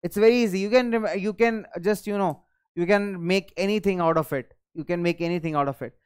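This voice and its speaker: A young man, a native Hindi speaker, giving a recorded class lecture in a studio on a lapel mic, lively and thinking aloud as he goes. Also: high-pitched, brisk, clean tone. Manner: energetic, gestures at screen, thinks aloud. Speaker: teacher. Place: studio in front of a large screen.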